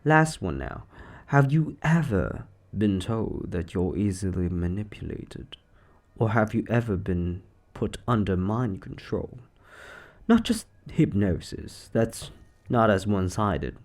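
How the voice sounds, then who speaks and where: A man playing an eccentric, secretly sinister occult shop owner in a roleplay monologue, chatty and offhand with theatrical, drawn-out words. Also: fairly low pitch, very animated, a little mellow, full character voice. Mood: businesslike, playful. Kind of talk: scripted roleplay monologue to the listener.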